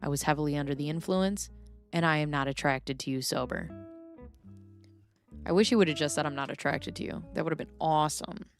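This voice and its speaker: Woman, stream host, low voice, chatty, reads messages aloud and answers chat. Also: a little fast, vocal fry, faint music behind. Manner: chatty, reacts aloud and answers viewers by name. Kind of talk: live stream commentary, reading messages aloud.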